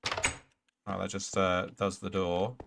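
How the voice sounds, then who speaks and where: A man's voice: low-pitched, monotone, slow pace, vocal fry, noisy background. A man streaming a block-building game, chatting casually and talking to the mobs he meets. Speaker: gaming streamer.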